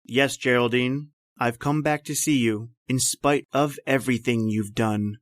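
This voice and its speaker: A man playing an accusing brother of the dead in an old-time radio mystery, with an ominous, deliberate delivery. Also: mid-range voice, fairly even intonation, no echo, clean studio sound, natural voice. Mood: accusing, ghostly.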